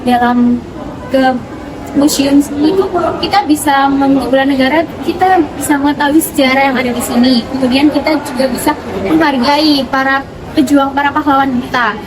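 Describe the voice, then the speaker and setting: A woman's voice: high voice, steady tone, moderate pace, crowd noise. A young woman answering questions as a visitor in an informal on-location interview. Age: young adult. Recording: clearly noisy public-place recording.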